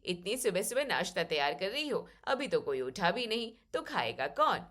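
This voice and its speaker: A kindly elder sister-in-law in a storybook family drama, played by a woman. She has a mid-pitched, familiar voice and talks with caring concern. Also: very animated, moderate pace, a bit bright. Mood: surprised, concerned.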